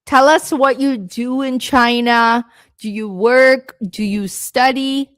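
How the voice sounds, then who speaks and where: Woman, live-stream English teacher, slow and encouraging, dry close sound. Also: a little high, fairly even intonation, fairly bright tone, no echo. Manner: patient, encouraging, talks to viewers by name. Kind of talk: live-stream speaking lesson reading chat comments.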